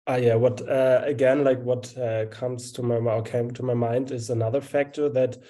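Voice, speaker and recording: A man, a student presenter, answering a seminar question on a video call, halting and full of fillers. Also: mid-range voice, flat delivery, warm tone.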